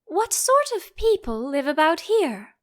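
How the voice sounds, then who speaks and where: A curious young storybook girl, acted out by a woman, with a high voice that rises and falls freely. She is polite, earnest and a little timid, full of questions. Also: sing-song, measured pace, a bit bright, exaggerated voice. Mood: puzzled, questioning.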